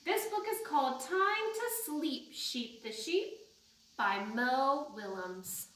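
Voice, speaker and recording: High-pitched woman storyteller, slow and echoey. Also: sing-song, fairly bright tone, slight background noise. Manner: sing-song, simple questions and prompts to children. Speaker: children's storyteller at a library. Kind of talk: storytime read-aloud and talk for young children. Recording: echoey room, distant microphone.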